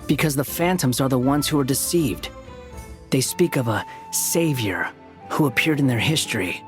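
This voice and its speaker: A man's voice: mid-range voice, expressive, moderate pace, soft background music, close to an everyday voice. An actor voicing an aloof young man who speaks in a terse, matter-of-fact way. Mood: serious. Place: studio.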